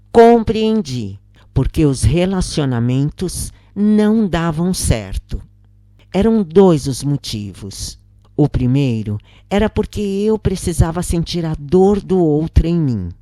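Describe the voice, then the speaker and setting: A Brazilian woman narrates a scripted story at a slow, measured pace. Her voice is low and mellow, captured close and dry with hardly any room around it. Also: no room sound. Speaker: narrator reading a spiritist story.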